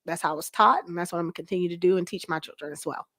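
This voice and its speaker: A woman's voice: on the low side, racing, fairly bright tone. A woman interview guest chatting casually and explaining her views in a candid way. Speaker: guest, church first lady.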